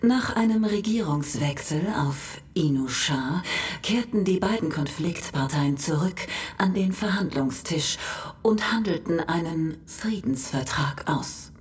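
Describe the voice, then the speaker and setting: Cosmic compendium narrator, formal and solemn, measured slow delivery, lowish pitch, scripted radio play. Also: female voice, animated.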